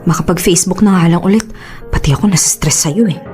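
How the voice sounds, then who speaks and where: A woman's voice: on the low side, fast talker, darkish tone, faint music behind, close to an everyday voice. A woman with a Filipino accent acting an exasperated, weary friend in a radio drama.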